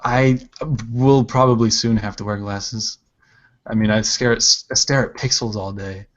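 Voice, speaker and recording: A man hosting a casual art livestream, chatting while he draws, relaxed and rambling with plenty of filler words. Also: on the low side, moderate pace, highs cut off. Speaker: livestream host, digital artist.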